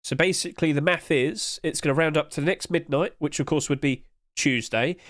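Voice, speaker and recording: A man's voice: fairly high pitch, brisk, a bit bright, no room sound, clean studio sound. Chatty man with a blocked-up nose. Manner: chatty, loose, lots of fillers and restarts. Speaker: gaming market commentator. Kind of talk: casual screen-share commentary.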